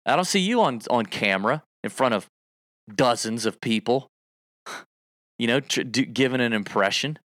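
A man co-hosting a casual golf livestream chat, talking in an animated, emphatic way. His pitch jumps and dips a lot as he presses his points. The sound is dry and close. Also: normal speed, long pauses, no room sound. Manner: animated, emphatic, piles on stats. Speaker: golf betting co-host.

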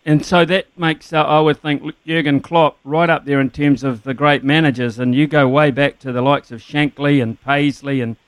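A man's voice: a little high, fairly even intonation, normal speed, few pauses, clear, resonant tone, no echo. A man hosting a radio interview, asking rambling, conversational questions padded with fillers. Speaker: radio host.

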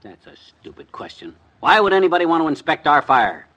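A high-voiced, cranky American small-town man in a 1950s radio comedy. His swoopy, animated delivery is full of folksy slang and exasperated wisecracks. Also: a little fast, dull audio, heavily acted. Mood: irritated. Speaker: lead actor in a radio comedy.

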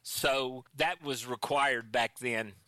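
A man's voice: mid-range voice, steady tone, takes it slowly, bright, forceful tone, no room sound. A man in his sixties chatting easily on a radio talk show. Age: 60s. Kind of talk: casual chat.

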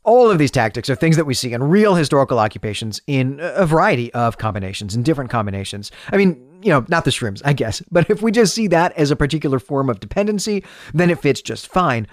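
A man's voice: mid-range voice, sing-song, quick pace, hardly any pauses, dry recording, clean studio sound. A man hosting a podcast, delivering an analytical, explanatory monologue in the style of literary commentary. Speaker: podcast host.

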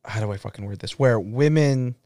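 A man's voice: medium pitch, a little fast, dry recording. Laid-back young man, rambling chat. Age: 20s. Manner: rambling, heavy use of "like", laughs loudly. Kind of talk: casual chat.

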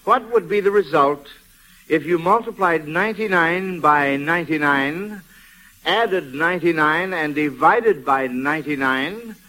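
A man's voice: fairly high pitch, bright, forceful tone. A man hosting a 1940s children's radio quiz in an encouraging, folksy manner. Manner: encouraging, folksy, repeats approving murmurs. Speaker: quizmaster of children's quiz show. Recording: vintage radio, noisy with audience.

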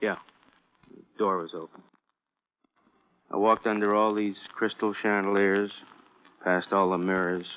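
An actor playing a weary, wistful police officer in an old-time radio crime drama, speaking in halting, clipped fragments. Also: male voice, on the low side, flat delivery, natural voice. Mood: somber, halting. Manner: halting, clipped fragments, repeatedly addressing partner. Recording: muffled phone-like vintage radio recording.

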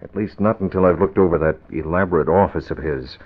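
An actor playing a composed, commanding masked crime fighter with a measured, authoritative manner, in old radio adventure style. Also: male voice, low voice. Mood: resolute. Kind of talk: 1940s radio adventure acting. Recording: tinny, noisy old radio recording.

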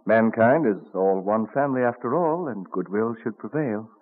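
An actor playing a genial, philosophical colonial inventor and printer in a courtly, earnest radio drama manner. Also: male voice, lowish voice, expressive, normal speed. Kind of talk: old-time radio drama acting. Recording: muffled narrow-band vintage radio recording.

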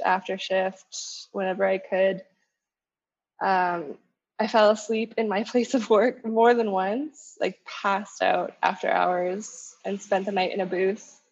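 A woman in her late twenties from Canada, a guest telling her story candidly and laughing softly between phrases, calling in from a coffee shop. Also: mid-range voice, husky, dull audio. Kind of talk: personal storytelling in an interview.

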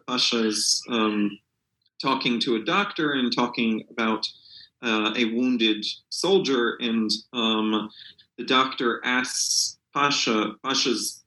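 Man, bright forceful tone, hesitant delivery, unscripted interview, echoing room. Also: mid-range voice. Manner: halting, hesitant, searching for words. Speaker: literary translator, interview guest.

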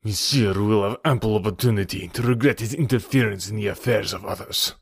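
A man's voice: on the low side, a little fast, husky, dry recording. Man reading as big male villain, petulant, curt, resentful, formal, audiobook character, dry sound. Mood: menacing.

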